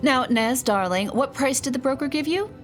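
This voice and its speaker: A woman's voice: sits high, lively intonation, a little fast. An actress playing a blunt, wry young aristocratic lady in an audio drama. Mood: wry, assured. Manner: dry, wry, challenging. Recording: music behind the voice.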